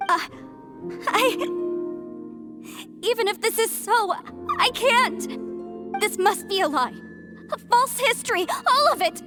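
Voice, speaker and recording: A woman voicing a devout young believer who protests in a halting, shaken way in a game cutscene. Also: extremely high pitch, lively intonation, exaggerated voice. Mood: distraught. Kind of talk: video game voice acting. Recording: game cutscene with loud music.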